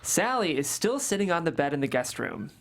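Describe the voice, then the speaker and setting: A woman reading a script's stage directions in a brisk, matter-of-fact way. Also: sits low, very animated. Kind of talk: scripted table read narration.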